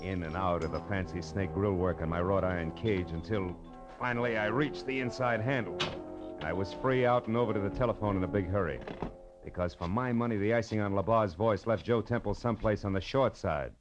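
An actor playing a tough, wisecracking American private detective in 1940s radio drama style, clipped and sardonic. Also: male voice, on the low side, fairly quick, natural voice. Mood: wry narration. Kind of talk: 1940s radio drama acting, hardboiled narration. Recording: muffled old radio recording with music.

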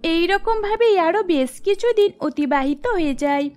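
A woman's voice: very high-pitched, lively intonation, unhurried, darkish tone. A woman giving scripted narration for a cartoon story.

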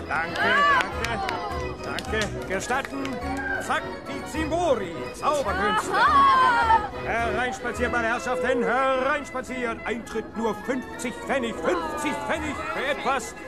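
Radio play role: boastful carnival conjurer, slow showy patter, busy crowd behind. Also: male voice, very high voice, lively intonation, heavily acted. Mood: boastful, inviting. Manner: grandiose showman's patter, drawn-out calls. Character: boastful fairground magician barker touting his show. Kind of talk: 1970s German radio play acting. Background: fairground music and crowd.

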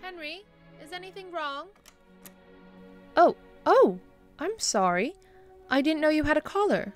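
An actress playing a kindly, long-suffering bishop's wife in an audio drama, gentle and solicitous. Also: female voice, high-pitched, big pitch swings, unhurried, soft background music. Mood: pleasant surprise. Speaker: actor. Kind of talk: radio-play style drama acting.

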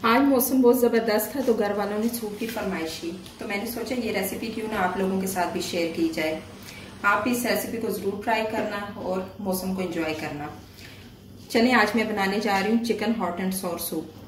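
Woman, cooking host, friendly step-by-step narration, slightly distant mic, echoey room. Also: mid-range voice. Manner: friendly, explains each step plainly with small pauses. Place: home kitchen. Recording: echoey room, voice a bit distant, faint noise.

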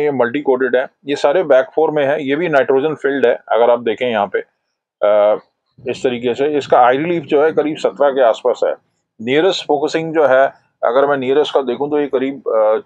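A man's voice: medium pitch, brisk, a little mellow, no room sound, dull audio. A middle-aged man in a shop, explaining binoculars in a product review, hesitating often with "uh" fillers. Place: binocular shop, indoors, shelves of boxes. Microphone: lapel mic.

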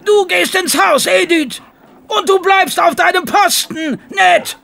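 An actor playing a stern, resolute man in charge of a stable, gravelly and commanding, in a German radio play. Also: extremely high pitch, fairly even intonation, takes it slowly, bright, forceful tone, exaggerated voice. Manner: commanding, urgent. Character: stern, resolute male horse trainer in charge. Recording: clearly noisy background.

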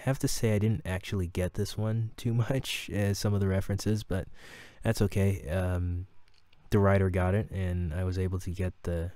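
Deep voiced guy, relaxed halting director commentary, warm tone. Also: dry recording. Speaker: audio drama director.

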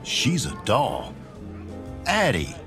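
A man's voice: mid-range voice, wildly swooping pitch, drawn-out words, creaky, over music, exaggerated voice. A man playing a confident, courteous 1920s bootlegger in an audio drama. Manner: courteous, assured. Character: smooth-talking, confident 1920s male bootlegger.

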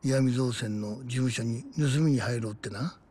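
An actor playing a world-weary man who narrates his rough gang youth, reflective and rough-spoken, in a dramatic game. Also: mid-range voice, normal speed, a little mellow, natural voice. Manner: rough masculine speech, reflective. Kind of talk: dramatic first-person game narration in Japanese.

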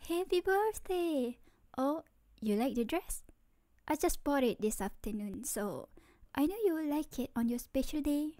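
Woman acting as a sweet, high-voiced doting girlfriend. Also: lively intonation, slow, mellow, dry recording. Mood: excited, loving. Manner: cutesy, giggly, tender. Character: sweet, doting young girlfriend, playful and affectionate. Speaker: voice actress in girlfriend roleplay. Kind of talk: ASMR roleplay, scripted acting.

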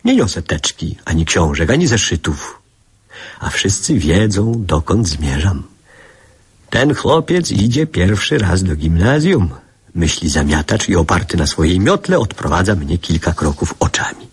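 A man narrates a literary radio play of childhood memories. His voice is low and markedly husky, with lively, varied intonation that shapes each phrase. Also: measured pace.

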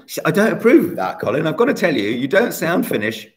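A man in his forties hosting a casual online quiz from a bright room at home, chatty and teasing, recorded on a laptop webcam. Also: on the high side, very animated, fast talker. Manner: chatty, teasing, self-mocking. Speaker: quiz host.

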